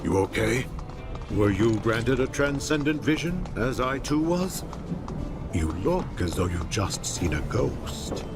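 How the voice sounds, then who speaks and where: A man's voice: mid-range voice, sing-song, slow. An actor playing an eloquent, earnest male card devotee in a video game, telling stories in an ornate, dramatic way. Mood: curious concern. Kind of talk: video game cutscene voice acting. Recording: loud music over the voice.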